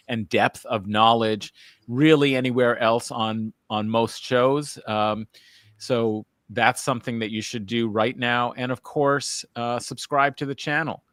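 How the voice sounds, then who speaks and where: A man's voice: mid-range voice, fairly bright tone, clean tone, no room sound, clean studio sound. A man hosting a live-streamed talk show, enthusiastic and a little rambling, with frequent fillers. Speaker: host.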